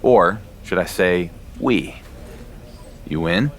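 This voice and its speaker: A man's voice: deep voice, slow pace. A man playing a cocky, cool-headed wrestling champion in a game cutscene, with a dry, cutting manner.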